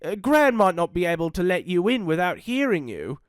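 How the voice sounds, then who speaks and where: A fretful, protective storybook mother, gentle but firm, as a narrator lifts their voice for her. The lines sit a bit above the reader's usual pitch, lively in tone and recorded dry and close. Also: fairly quick, clean tone, no room sound, close to an everyday voice. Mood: worried. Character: anxious, caring mother.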